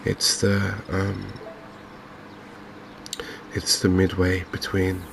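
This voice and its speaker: A man hosting a gaming video in a softly spoken ASMR commentary style, unhurried and hesitant, musing to himself. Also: sits low, monotone, vocal fry, noisy background.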